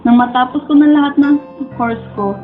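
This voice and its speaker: A woman's voice: sits high, normal speed, lightly acted. A woman with a Filipino accent playing a wistful, lovestruck young student who narrates her dreams in a radio drama. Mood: sad, worried. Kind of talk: first-person radio drama narration and dialogue. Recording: dull lo-fi audio, music bed. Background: background music.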